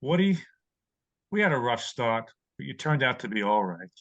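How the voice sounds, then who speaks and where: A man playing an aging, weary, gentle mouse who speaks as a calm, fatherly mentor in an audio drama. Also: medium pitch, moderate pace. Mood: resigned, tender.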